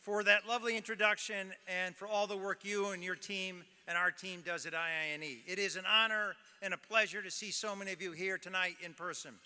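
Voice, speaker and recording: A man's voice: high-pitched, fairly even intonation, fairly quick, few pauses, sharp tone, clear, resonant tone. A man, the president of a nonprofit, giving a formal welcome speech at a gala with light joking. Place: large ballroom. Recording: hall reverb, faint crowd.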